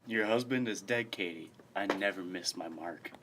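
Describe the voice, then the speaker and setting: A man's voice: low voice, normal speed, fairly bright tone. A man playing a commanding wilderness officer who is secretly a cold killer, in an amateur radio play. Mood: cold, gloating. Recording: noisy amateur recording with background ambience.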